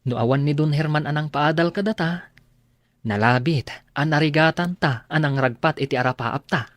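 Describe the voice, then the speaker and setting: An actor playing an excitable, loyal young friend in an Ilocano radio drama, expressive and emphatic, with an Ilocano accent. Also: male voice, fairly high pitch, normal speed, no echo, close to an everyday voice. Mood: agreeable, resolved.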